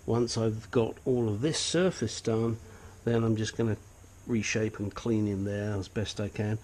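A man's voice: lowish voice, steady tone, unhurried, a little mellow. An older man with a sniffly nose giving a blunt, chatty walkthrough of his engine work in a home garage. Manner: blunt, chatty, self-deprecating. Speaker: hobbyist engine restorer. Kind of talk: casual workshop walkthrough. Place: cluttered home garage workshop.

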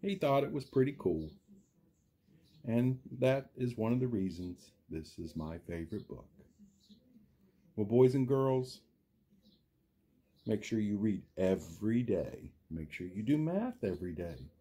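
A man voicing a friendly cartoon dinosaur who reads a children's storybook theatrically, husky, drawing out words and putting questions to young listeners. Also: fairly low pitch, lively intonation, a little slow, pauses often, dark tone. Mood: friendly, chatty. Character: friendly green dinosaur puppet, animated children's storytime reader. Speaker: storytime reader for a school class. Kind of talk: children's storybook read-aloud with asides.

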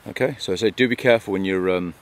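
A middle-aged man with a Lancashire accent and a deep, low voice, talking casually and explaining plants as a bushcraft instructor. He speaks relaxed, with fillers and restarts. He is recorded outdoors in a meadow on a camera mic, with faint outdoor noise behind him. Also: very fast. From England. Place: outdoors in a grassy meadow by woodland.

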